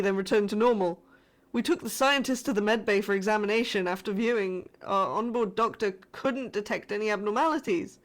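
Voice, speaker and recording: A woman playing a frightened spaceship crew survivor sending a distress message in a scripted sci-fi horror audio drama, formal but shaken and halting. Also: medium pitch, natural voice. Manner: formal reporting that breaks into shaken, halting, emotional delivery.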